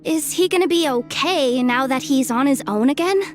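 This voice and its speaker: A woman playing a quick-witted, boastful young girl in a video game, with a youthful, theatrical manner. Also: sits high, music in the background, natural voice. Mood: uncertain.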